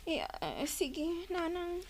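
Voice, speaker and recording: A very high, girlish voice plays a young pregnant wife in an Ilocano radio drama. She is whiny and wheedling, pleading her case with an Ilocano accent. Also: sing-song, slow, exaggerated voice. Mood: meek.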